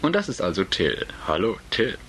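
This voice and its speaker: A man voicing a suave, elegant man in his late thirties in an audiobook, calm, polished and smooth-talking. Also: low voice, normal speed, slight background noise, heavily acted.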